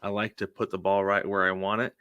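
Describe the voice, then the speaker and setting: A man's voice: deep voice, flat delivery, brisk, bright, forceful tone, clean tone, no echo, lo-fi sound. A man co-hosting a sports podcast in casual chat, informal and anecdotal, with plenty of conversational filler. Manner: informal, anecdotal, frequent "like" and "you know". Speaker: podcast co-host.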